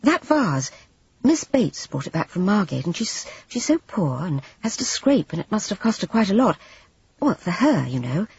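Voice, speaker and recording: A reader gives voice to a meek, eager-to-please young woman who stumbles over her words. Her lively, swooping delivery has a breathy, husky edge. The recording is dull and dry. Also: medium pitch, normal speed, no room sound, voice pushed up, exaggerated voice. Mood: pleading. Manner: hesitant, stammering.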